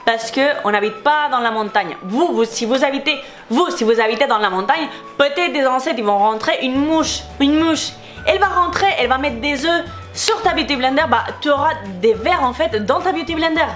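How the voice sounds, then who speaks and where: A woman's voice: sits high, normal speed, fairly bright tone, faint music behind. A young woman from Spain with a Spanish accent, speaking French as a beauty vlogger, heated and repetitive as she answers comments. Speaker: beauty YouTuber. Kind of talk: casual vlog, replying to comments.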